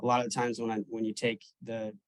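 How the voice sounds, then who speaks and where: A man in his twenties, a real estate agent, answering interview questions over a video call, relaxed, with frequent ums and restarts. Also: mid-range voice, fast talker, no echo. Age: 20s.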